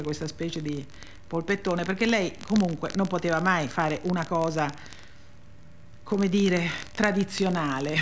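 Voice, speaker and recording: A woman guest speaking informally in a radio interview, halting as she searches for words. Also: fairly low pitch, a little hiss or hum. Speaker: guest reader and performer.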